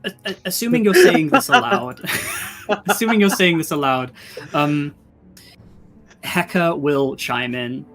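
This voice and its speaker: A man's voice: on the high side, measured pace, pauses often, fairly bright tone, faint music behind. A man running a tabletop game as game master, casually narrating and thinking aloud.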